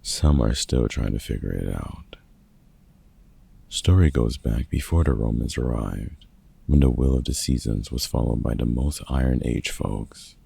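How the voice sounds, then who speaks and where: A man playing a gruff, grudgingly kind vampire hunter in a roleplay audio drama, dry and sarcastic, with a gravelly, husky voice. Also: rumbling low, mellow, exaggerated voice.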